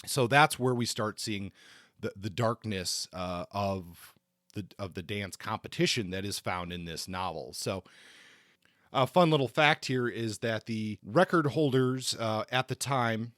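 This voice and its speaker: A man co-hosting a podcast, explaining history in a conversational way, often halting and repeating words. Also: on the low side, fairly bright tone, no room sound, clean studio sound. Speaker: podcast co-host.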